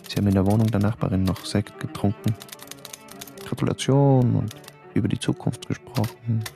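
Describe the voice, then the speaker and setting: A grave stage actor recounting events in a very low, dark, gravelly voice. The delivery is measured and hushed, recorded close and dry in a studio. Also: male voice, soft background music. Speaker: actor writing a letter. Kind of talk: letter read as a narrated voice-over.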